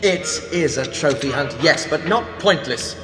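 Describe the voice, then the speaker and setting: A proud, smug military officer, speaks in a fairly high voice with crisp authority. The sci-fi drama places him in a long, echoey hall with faint music. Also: male voice, animated, unhurried. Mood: smug debating. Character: confident military officer, proud, intellectual trophy hunter. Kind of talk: sci-fi audio drama acting.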